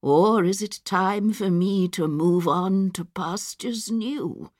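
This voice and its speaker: Sing-song 99-year-old headmistress. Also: male voice, high voice, takes it slowly, no room sound, clean studio sound, pitched above the performer's own voice. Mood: doddery, stately. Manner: rambling, forgetful. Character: dozy 99-year-old headmistress, sweet and muddled. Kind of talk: storybook character voice.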